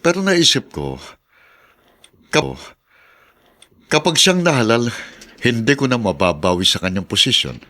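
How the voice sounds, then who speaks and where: A Filipino actor playing a confident, scheming small-town mayor with a persuasive manner in a radio drama. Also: male voice, mid-range voice, sing-song, unhurried, pauses often, sharp tone. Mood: persuasive, assured.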